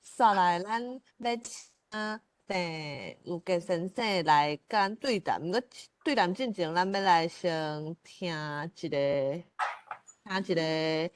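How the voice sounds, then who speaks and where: Slow, lowish-voiced Taiwanese woman hosting. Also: clean tone. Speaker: host, moderator. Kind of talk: event hosting.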